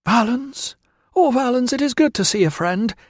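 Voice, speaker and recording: A worried young wife, earnest and anxious yet firm in her words, as a male narrator puts her on. The reader lifts his pitch clearly to play her, in a close, dry recording. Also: sing-song, a little fast, dry recording, heavily acted.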